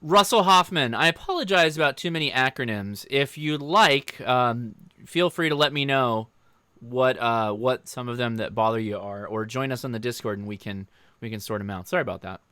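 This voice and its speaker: Bright, clear-voiced American man, casual streamer. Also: mid-range voice, normal speed, no room sound. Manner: informal, joking, addresses viewers directly. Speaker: livestream host. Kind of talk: casual livestream chat, answering viewer questions.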